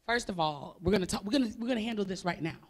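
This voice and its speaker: A performer on a church stage playing Miss DeWanda, a sassy older woman guest news anchor who gets flustered and fires back quick retorts. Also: high voice, breathy edge. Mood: indignant. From New Jersey. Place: large church stage, indoors. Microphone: handheld mic.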